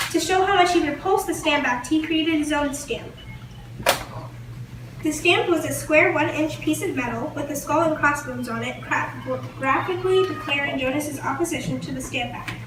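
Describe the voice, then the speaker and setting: A woman's voice: sits high, lightly acted. A woman playing a widowed colonial printer, reading a prepared script and tripping over words now and then. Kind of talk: first-person historical role-play. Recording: roomy, distant mic, noisy background.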